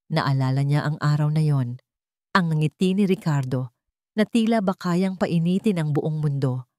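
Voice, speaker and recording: Woman narrating drama in a low voice. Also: darkish tone, no echo, studio quality. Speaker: storyteller. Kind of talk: scripted dramatic story narration.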